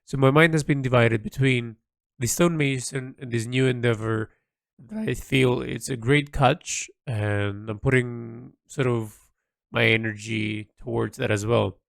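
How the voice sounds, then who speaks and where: A man recording an unscripted, reflective audio log, speaking in a halting, rambling way as he thinks aloud and repeats himself. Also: mid-range voice, fairly even intonation, vocal fry, no room sound. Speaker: audio log diarist, creative hobbyist.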